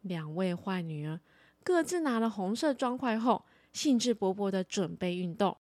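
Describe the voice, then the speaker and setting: A woman telling a story for children as a podcast host, in an animated storytelling style. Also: mid-range voice, normal speed, no room sound.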